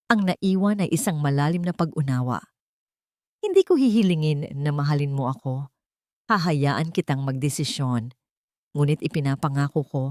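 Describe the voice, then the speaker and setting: A woman's voice: fairly low pitch, a little mellow, no room sound, clean studio sound. A woman narrating a scripted first-person story for an audio drama.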